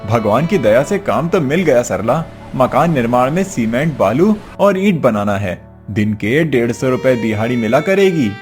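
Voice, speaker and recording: A man's voice: medium pitch, big pitch swings, moderate pace, soft background music, natural voice. A man acting a poor, hardworking, noble-hearted father and labourer in a cartoon moral story, earnest and emotional. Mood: humble.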